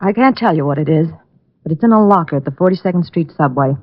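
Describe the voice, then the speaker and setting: A woman's voice: low-pitched, animated, quick pace. A woman playing a guarded, teasing mystery client in an old radio detective drama. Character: cool, evasive, flirtatious mystery woman client. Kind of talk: 1940s-50s radio detective acting. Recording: muffled vintage radio recording.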